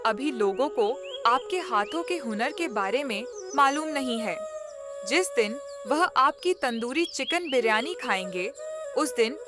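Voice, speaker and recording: A woman acting as a young village wife in a cartoon moral tale. Her voice is fairly high and she speaks emphatically, as a nagging but caring spouse. Music plays clearly behind her. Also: expressive, measured pace. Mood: reassuring.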